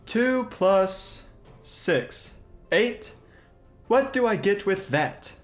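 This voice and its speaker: Self-pitying bard for radio drama, melodramatic delivery, very drawn out, widely swinging pitch. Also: lively intonation, very slow, faint music behind. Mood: dejected. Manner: melodramatic, grandiose. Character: theatrical, self-pitying bard who loves to perform.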